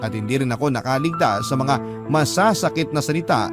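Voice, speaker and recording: A man narrating a listener's letter on a radio drama show in an earnest, steady storytelling manner. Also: medium pitch, fairly quick, over loud music.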